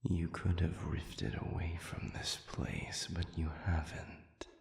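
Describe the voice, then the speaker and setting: A man voicing an ancient, solemn celestial being in an audio roleplay, speaking in a breathy whisper with formal, archaic phrasing and halting pauses. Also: sits low, flat delivery, full character voice. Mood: calm, coaxing. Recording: reverberant, echoey sound.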